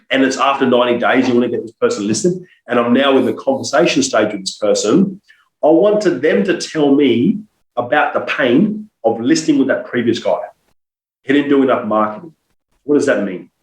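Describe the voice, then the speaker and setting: A man's voice: mid-range voice, expressive, normal speed, echoey room, rough recording. A man with a cold, speaking as a sales coach guest on a podcast interview. He talks emphatically and persuasively, leaning on rhetorical questions. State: has a cold.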